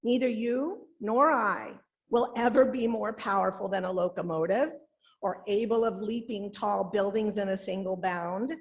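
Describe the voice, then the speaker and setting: A woman reads a written sermon aloud in a deliberate, measured way, phrase by phrase. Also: mid-range voice, steady tone. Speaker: lay reader delivering a written sermon. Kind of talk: sermon read aloud from a script.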